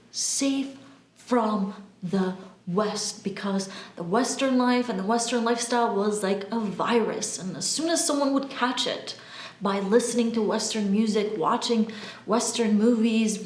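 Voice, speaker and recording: Woman speaking emphatically in an echoey room. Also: medium pitch. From Albania. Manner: emphatic, deliberate, with pauses and repeated words. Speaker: spiritual channeler and video host. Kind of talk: spiritual monologue to camera. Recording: roomy, echoing room sound.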